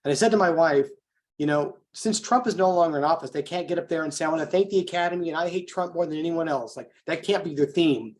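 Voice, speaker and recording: A man's voice: on the high side, quick pace, a little mellow. An American man hosting a conversational political interview, talking informally and working his way round to his questions.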